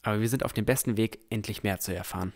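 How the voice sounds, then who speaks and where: Young, shy, curious fantasy hero-narrator played by a man, on the low side, measured delivery for an audio drama. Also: natural voice. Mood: absorbed, watchful. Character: Noel, dreamy young village boy, shy and curious. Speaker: narrator and lead character.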